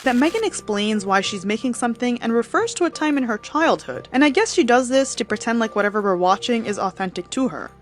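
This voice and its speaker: A young woman in her twenties with a slightly high voice. She talks in a chatty, skeptical way, like a casual commentary. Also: lively intonation, a little fast, hardly any pauses, soft background music. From United States. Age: 20s. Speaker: commentary host.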